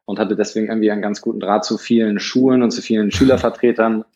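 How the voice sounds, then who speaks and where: A man from Hamburg, a startup founder, answering interview questions in a quick, hesitant way full of fillers and restarts. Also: on the low side, steady tone, a little mellow. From Hamburg, Germany. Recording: dull lo-fi sound.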